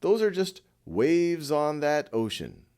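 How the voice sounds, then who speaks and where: Male meditation guide: gentle and reassuring, unhurried delivery with a lively, moving pitch. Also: clear, resonant tone.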